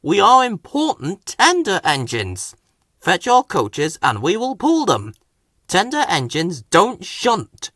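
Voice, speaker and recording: A man's voice: high voice, wildly swooping pitch, takes it slowly, bright, forceful tone, no room sound, clean studio sound, voice pushed up, exaggerated voice. A man voicing a proud, sulky train engine in a storybook, huffy and sniffy.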